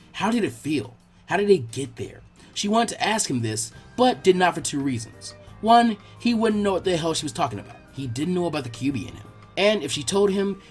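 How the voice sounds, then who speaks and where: Animated, husky male story narrator. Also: a little high. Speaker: fan fiction narrator. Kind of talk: expressive scripted story narration.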